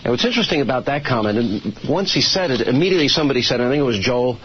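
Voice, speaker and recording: A man's voice: mid-range voice, animated, rapid-fire. Male interviewer, dull broadcast sound. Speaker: interviewer, show host. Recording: dull lo-fi broadcast audio, background noise.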